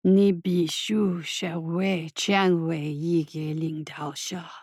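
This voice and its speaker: Woman voicing a slow, deep, menacing tortoise. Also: fairly even intonation, a little mellow, dry recording, clean studio sound. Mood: scornful. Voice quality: deep, heavy, rumbling. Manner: slow, weighty, authoritative delivery. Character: slow, scornful, menacing mysterious tortoise with heavily accented speech.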